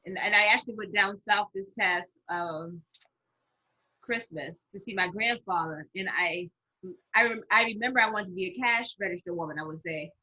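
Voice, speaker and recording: Breathy, bright woman guest. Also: mid-range voice, moderate pace, dull audio. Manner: bubbly, giggly storytelling. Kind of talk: casual conversation.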